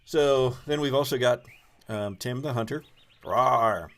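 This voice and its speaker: A man's voice: mid-range voice, measured pace, fairly bright tone. A man acting as game master, casually improvising and thinking aloud with hesitant phrasing. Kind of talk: casual improvised game narration and table talk.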